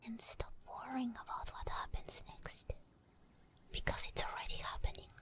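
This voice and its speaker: A woman voicing a possessive, seductive sleep paralysis demon in a breathy whispered ASMR roleplay, slow and intimate. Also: lightly acted. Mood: possessive, teasing. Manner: slow, intimate, lingering delivery.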